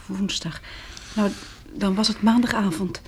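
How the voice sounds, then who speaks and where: An actress playing a shaken but plucky, well-off young woman, a survivor of disaster, in a Dutch radio drama, with a slightly breathy voice. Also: mid-range voice, fairly even intonation, unhurried, darkish tone, slight background noise, close to an everyday voice. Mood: weary, confiding.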